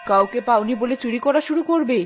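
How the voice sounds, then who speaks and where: A woman's voice: fairly high pitch, quick pace, close to an everyday voice. A woman voicing a bossy, greedy village mother-in-law who scolds and accuses in a children's cartoon. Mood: angry, accusing. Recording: music behind the voice.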